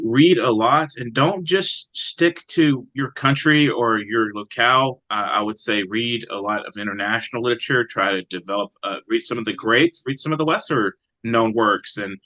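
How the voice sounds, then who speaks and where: A man speaking as an interviewed poet, in a reflective, halting conversational way. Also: medium pitch, sharp tone, dry recording, poor audio. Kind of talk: conversational interview with brief poem reading.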